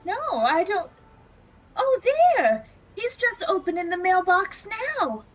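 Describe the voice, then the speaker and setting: A high, unhurried voice for a meek and earnest romantic housemaid acted by a woman. She is deferential in an old-time radio comedy style. The sound is a little dull. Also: big pitch swings, full character voice. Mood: obliging.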